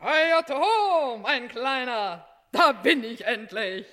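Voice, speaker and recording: A brash sea-captain wife in a comedy radio play, with a forceful, piercing voice. She swoops between gushing, poetic declamation and salty bluster, doting and menacing at once. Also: sits high, expressive, takes it slowly, a little hiss or hum, full character voice. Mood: gushing, bossy.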